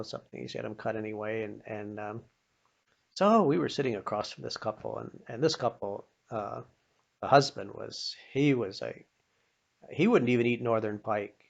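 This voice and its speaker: An older man telling personal anecdotes in an informal, folksy, halting way. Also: medium pitch, creaky. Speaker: storyteller. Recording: dull, lo-fi sound.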